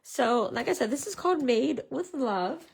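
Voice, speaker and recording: A woman with a raspy voice from a head cold, chatting casually as she leads a live craft tutorial and greets her viewers. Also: sits high, animated, normal speed. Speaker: craft demonstrator.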